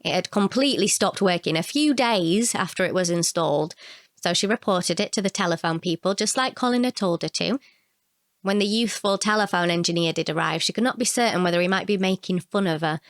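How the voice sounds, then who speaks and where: A woman's voice: on the low side, a little fast, no echo, clean studio sound. A woman narrating a scripted short story.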